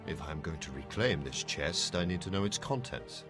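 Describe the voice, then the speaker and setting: A man's voice: rumbling low, animated, moderate pace, a bit bright, faint music behind, heavily acted. A man playing a proud, brusque ranger leader in an amateur fantasy audio play, with a commanding, dismissive manner. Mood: resolute.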